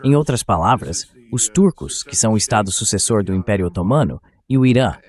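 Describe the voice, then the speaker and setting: A man's voice: fairly low pitch, animated, brisk, darkish tone. An AI-voiced man reading a translated dub of an interview guest's political analysis. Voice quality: synthetic AI voice-over.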